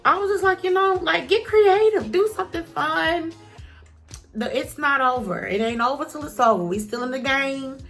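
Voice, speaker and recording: A woman's voice: high-pitched, normal speed, a bit bright, faint music behind. A woman talking casually to camera as a vlogger, chatty and encouraging, with a stuffy nose.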